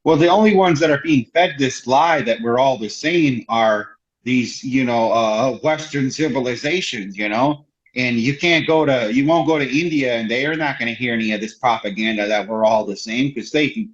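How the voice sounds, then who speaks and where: Male guest, mid pitch, hesitant and filler-heavy, dull lo-fi recording. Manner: halting, restarts a lot, many "you know" fillers. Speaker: podcast guest. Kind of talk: casual conversation. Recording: dull lo-fi sound.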